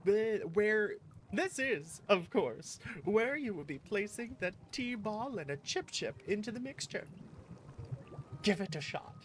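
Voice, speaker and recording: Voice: mid-range voice, very animated, unhurried, slight background noise. A streamer voicing a cheerful, theatrical game character who patiently gives tea-making instructions. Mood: cheerful, instructive. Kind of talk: reading game dialogue aloud. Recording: music behind the voice.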